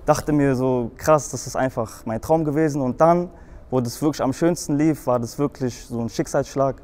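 A man's voice: medium pitch, moderate pace, a little mellow. A young man from Frankfurt with a Hessian accent, telling his own story in a rambling way, with many fillers and restarts. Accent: Hessian German. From Germany, Frankfurt. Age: young adult. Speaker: guest in a show video.

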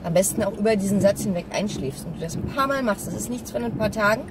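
Woman, mid-pitched voice, casual rambling vlog, thinking aloud, loud road noise in a car. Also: fast, far from the mic. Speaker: vlogger. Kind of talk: casual chat to camera while driving. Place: inside a moving car. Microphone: camera on the dashboard. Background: engine and road noise.

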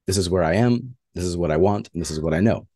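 A man's voice: deep voice, fast talker, dark tone, no room sound, clean studio sound. A man who is a flight instructor, giving a plainspoken slide lecture on flying with frequent filler uhs.

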